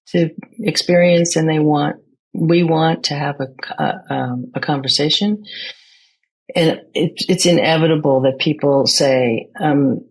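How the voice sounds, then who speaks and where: A woman speaking as an interview guest, reflective and measured, pausing often as she thinks. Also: deep voice, husky, low-quality recording. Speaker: interview guest, poet and theater maker.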